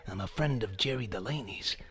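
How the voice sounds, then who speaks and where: A man playing a sly, wry small-time schemer in a radio mystery, husky and insinuating. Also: on the low side, fairly quick, a bit bright. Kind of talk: old-time detective radio drama acting.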